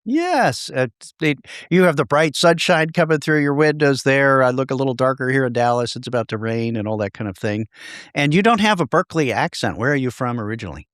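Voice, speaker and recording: A man's voice: medium pitch, expressive, brisk, fairly bright tone, no room sound, clean studio sound. A man hosting a podcast, upbeat and conversational as he introduces and questions his guest. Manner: upbeat, conversational, some restarts. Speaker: podcast host. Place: home in Dallas.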